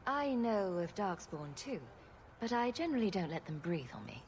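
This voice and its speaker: A woman's voice: mid-range voice, very animated, normal speed, slight background noise, natural voice. An actress voicing a witty, sarcastic female rogue hero in a video game, dry and playful in delivery. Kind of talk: video game character acting.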